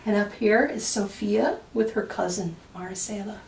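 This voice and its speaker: A woman reading a children's picture book aloud expressively, with deliberate dramatic pauses and emphasis. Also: medium pitch, gravelly, a little mellow, a little hiss or hum. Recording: echoey room, distant microphone.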